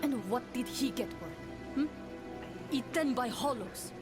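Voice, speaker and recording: A woman's voice: high voice, lively intonation, unhurried, over music. An actress playing a bitter, scornful townswoman in a video game, pointed and cynical. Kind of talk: video game dialogue acting.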